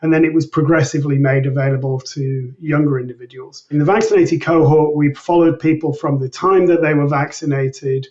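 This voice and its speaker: A man explaining research findings as an interview guest in a measured, methodical manner. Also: on the high side, fairly even intonation, darkish tone. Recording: distant mic, dull lo-fi sound.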